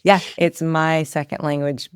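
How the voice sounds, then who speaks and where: A woman in her forties, born of Iranian heritage, telling stories as a podcast guest in a lively, filler-filled way. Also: low-pitched, unhurried, clean tone, no room sound, clean studio sound. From Iran. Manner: animated storyteller, many "like" and "you know" fillers. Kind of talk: casual interview storytelling.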